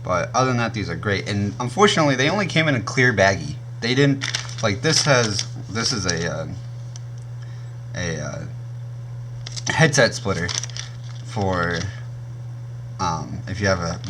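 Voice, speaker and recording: A young man with a naturally deep voice, casually reviewing gear on his channel and often stopping to correct himself. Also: expressive, moderate pace, long pauses, noisy background. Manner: rambling, self-correcting, frequent um and uh.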